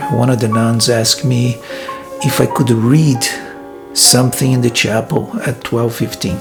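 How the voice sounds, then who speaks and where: Slow, gravelly man. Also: mid-range voice. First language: Portuguese. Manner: thoughtful, hesitant, many pauses and fillers. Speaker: filmmaker and vlog host. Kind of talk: reflective personal vlog. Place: his room in a monastery.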